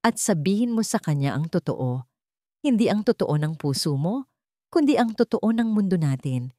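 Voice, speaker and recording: A woman's voice: on the low side, sing-song, normal speed, dark tone, dry recording, clean studio sound. A woman voicing an arrogant wealthy man who sneers and threatens. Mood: menacing.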